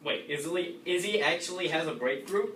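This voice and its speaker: A man's voice: a little high, normal speed, a bit bright. A sardonic young man performing a mock book review as comedic reader's theatre. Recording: echoey room, distant mic, faint noise.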